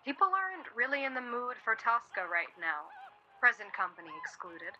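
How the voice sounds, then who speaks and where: A woman's voice: fairly high pitch, very animated, normal speed, bright, forceful tone, muffled recording, exaggerated voice. An actress playing a wry, teasing, affectionate composer in her late twenties in a naturalistic radio play. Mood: weary, wry.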